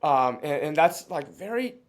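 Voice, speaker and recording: A man born in China and raised in Canada, speaking as an interview guest in a reflective, halting way with many restarts and frequent laughs. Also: on the high side, very animated.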